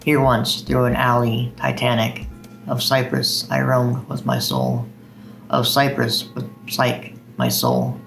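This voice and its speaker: Man, gravelly fry in the voice, medium pitch, poetry video host, faint background music. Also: animated, slow pace, fairly bright tone, low-quality recording. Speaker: video host reading poems. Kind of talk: poetry reading.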